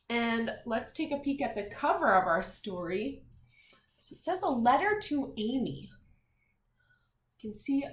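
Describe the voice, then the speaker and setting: A woman teacher giving a read-aloud vocabulary lesson to young children, enunciating deliberately, repeating phrases and putting questions to her listeners. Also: on the high side, a bit bright. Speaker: primary school teacher. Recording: echoey room.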